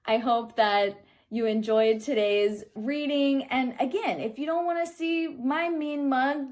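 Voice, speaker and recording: A woman's voice: a little high, darkish tone. An American woman in her thirties giving an informal spiritual reflection, earnest and emphatic, often checking in with the listener. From Kansas City, United States. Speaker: spiritual speaker and host.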